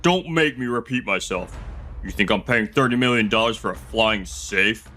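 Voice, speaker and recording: A man's voice: fairly low pitch, moderate pace, bright, forceful tone. A man playing an arrogant, petulant rich heir in a manga dub, brash and dismissive, with music under the voice. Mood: irritated, dismissive. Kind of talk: dramatic manga dub voice acting.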